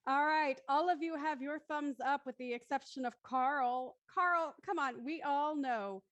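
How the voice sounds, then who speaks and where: Higher-pitched woman narrator, dry close sound. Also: measured pace, fairly bright tone, dry recording. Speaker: narrator reading stage directions. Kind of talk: scripted narration with casual host chat.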